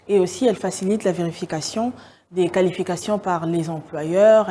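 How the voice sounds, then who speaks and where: Formal Congolese woman, mellow voice. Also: medium pitch, a little hiss or hum. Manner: formal, explanatory, hesitant fillers. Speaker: interviewee, education sector official. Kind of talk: formal interview answer.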